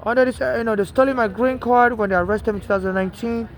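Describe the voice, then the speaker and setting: A man's voice: extremely high pitch, very fast. A man from Nigeria with a Nigerian accent mixed with an American one, talking outdoors on a city street at night in a rambling, aggrieved, repetitive way. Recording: noisy street traffic background. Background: passing traffic.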